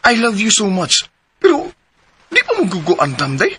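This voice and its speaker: An evasive young boyfriend in a Filipino radio drama, with a Cebuano accent. His voice is fairly high and bright, swinging widely in pitch as he sweet-talks and pleads. There is noise behind him. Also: huge pitch swings, normal speed. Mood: evasive, coaxing. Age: young adult. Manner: coaxing, pleading.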